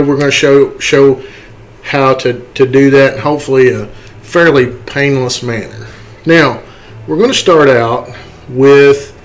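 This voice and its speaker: A man's voice: mid-range voice, poor audio. A man narrating a computer tutorial in a casual, conversational way, explaining step by step and often checking in with the listener. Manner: conversational, explanatory, often tags points with a checking "okay" or "right". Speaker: tutorial host, game modder.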